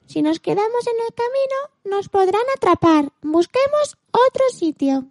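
A forlorn old house cat from a fairy-tale radio play. The voice is extremely high and plaintive, melodramatic in delivery, and recorded very dry. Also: female voice, sing-song, unhurried, no room sound, full character voice. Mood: conspiratorial.

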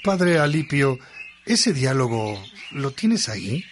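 An actor playing a devout, respectful disciple in a radio drama, with birdsong clearly heard behind him. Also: male voice, mid-range voice, big pitch swings, slow, slight background noise. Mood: earnest, devout. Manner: respectful, earnest. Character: Faustino, devout, eager disciple content with communal life.

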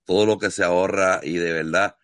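A man's voice: deep voice, steady tone, fairly quick, fairly bright tone, dry recording, studio quality. A man from Puerto Rico with a Puerto Rican accent, interviewing a guest in a casual video chat with enthusiastic, effusive praise. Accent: Puerto Rican Spanish.

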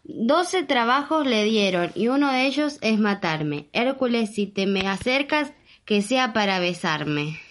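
A woman's voice: on the high side, expressive, measured pace, a bit bright. A woman with an Argentine accent voicing a bored, love-starved many-headed serpent in a rhymed storybook reading. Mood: flirtatious. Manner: reciting rhymed verse. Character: bored, foul-breathed female many-headed serpent monster, longing for kisses.

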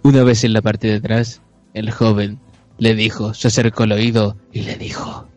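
A man's voice: on the low side, little pitch movement, normal speed, a little mellow, dry recording, soft background music. A man with a Chilean accent narrating an improvised radio play in a mock-serious storytelling style.

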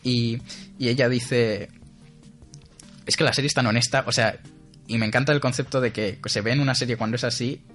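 A man's voice: mid-range voice, fairly even intonation, brisk, a bit bright, faint music behind. A young man, co-hosting a casual podcast chat, rambling with many restarts. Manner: rambling, with frequent restarts and laughter. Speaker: podcast co-host.

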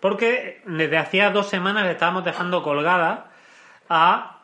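Guy hosting a podcast, kinda high and bright voice, playful, keeps saying vale. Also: normal speed, a little hiss or hum. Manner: playful, leads segments, keeps asking ¿vale?. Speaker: podcast host. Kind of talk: casual chat.

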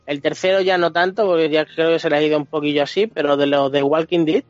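A man's voice: a little high, fairly even intonation, fast talker, dry recording. A man with an accent from Spain chatting casually and bluntly on a video game podcast, swearing freely and speaking with emphasis. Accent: Spanish from Spain. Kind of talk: casual chat. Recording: dull lo-fi sound, music behind.

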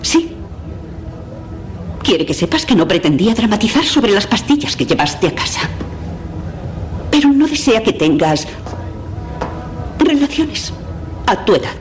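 An actress from Spain playing a prim, reproachful 1950s housewife in a dubbed TV drama, speaking formally and moralising. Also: female voice, medium pitch, big pitch swings, a little slow, long pauses. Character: prim, impeccable 1950s housewife, a mother's alter personality, reproachful. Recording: noisy clip from a TV show.

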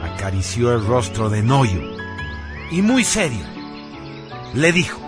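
Slow, expressive male radio drama narrator. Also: mid-range voice. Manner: solemn, theatrical, deliberate. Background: music.

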